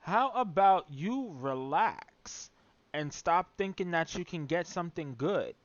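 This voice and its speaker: A man's voice: on the high side, lively intonation, takes it slowly, dry recording, highs cut off. A man streaming a video game with casual, sarcastic, teasing asides at the story. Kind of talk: casual live commentary over gameplay.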